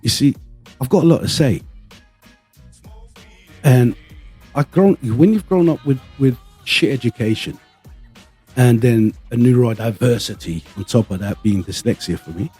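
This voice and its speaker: A male podcast host who grew up speaking Greek first. His voice is dark and rough-edged at a middle pitch. He talks casually and openly, pausing to find his words, with faint music behind him. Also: lively intonation. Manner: halting, candid, searching for words. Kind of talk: casual panel chat.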